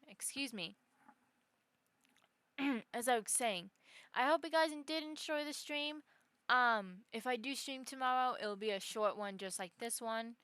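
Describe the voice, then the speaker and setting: A woman's voice: sits high, pushed and bright, clear, resonant tone, dry recording. A woman chatting casually on a live game stream, rambling and correcting herself as she talks to her chat. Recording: music behind the voice.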